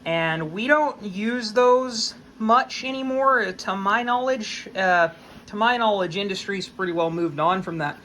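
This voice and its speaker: Bright-voiced American man, folksy, over background noise. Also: sits high, unhurried. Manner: folksy, plain-spoken. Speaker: hobbyist video host. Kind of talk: casual unscripted explainer.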